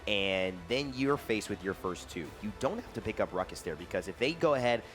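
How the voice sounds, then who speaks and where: Low-voiced male esports caster. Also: animated, a little fast, faint music behind. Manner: playful, banters and jokes between analysis. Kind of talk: live esports commentary. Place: esports expo hall arena.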